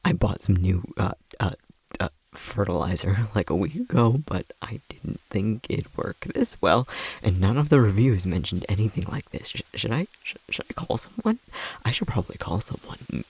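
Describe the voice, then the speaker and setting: A man acting an easily flustered, anxious young boyfriend in an ASMR roleplay, stammering nervously in a breathy, husky voice. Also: on the low side, no room sound, heavily acted.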